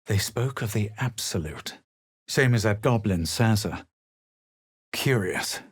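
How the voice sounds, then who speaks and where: A composed, haughty male adventurer from a fantasy game. His voice sits low and is recorded very dry and close. He speaks in measured phrases with a faint air of superiority. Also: animated, slightly rough, no echo, full character voice. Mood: cool, observant. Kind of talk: video game character lines, scripted.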